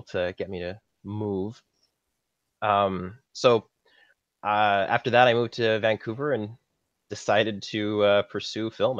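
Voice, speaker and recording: A man from Saskatchewan with a Canadian accent, chatting casually as a guest in a podcast interview. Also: sits low. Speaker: guest, film props master. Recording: dull lo-fi audio, slightly roomy.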